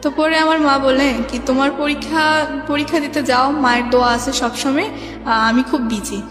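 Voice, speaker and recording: A high young woman's voice with a Bangladeshi accent comes through heavy echo, with music clearly playing behind. She tells a personal story informally and ramblingly, often acting as reporter of remembered conversations. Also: fairly even intonation, normal speed. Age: young adult. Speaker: guest telling her life story.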